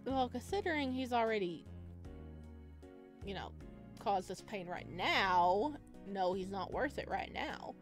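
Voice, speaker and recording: A woman's voice: medium pitch, lively intonation, measured pace. A woman streaming a visual novel playthrough, reading aloud expressively with playful, chatty reactions. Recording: music playing behind the voice.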